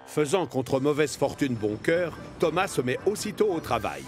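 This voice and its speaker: A man narrating a children's animated story in a scripted, expressive storytelling style with deliberate phrasing. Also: on the high side, music in the background.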